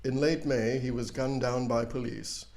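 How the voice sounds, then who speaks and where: Guy doing a grave deadpan newsreader, mid-pitched with some gravel, comedy radio news. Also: normal speed, natural voice. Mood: grave, ominous. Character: earnest, self-serious male TV-style news reporter and anchor. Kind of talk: parody news broadcast.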